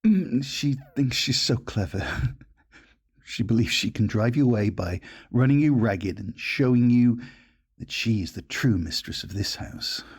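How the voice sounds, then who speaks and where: A man as a gravelly, conniving gentleman groom. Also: medium pitch, expressive. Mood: soothing, scheming. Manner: suave, measured, soothing. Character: smooth, conniving gentleman groom, seductive and placating. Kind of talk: dramatic audio roleplay acting.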